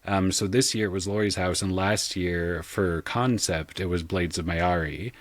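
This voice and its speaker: A millennial man talking casually and thoughtfully as a podcast guest, halting at times and frank in his wording. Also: low-pitched, fairly even intonation, no room sound. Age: millennial, 30s or so. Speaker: guest, audio drama creator and game designer. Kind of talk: casual podcast interview talk.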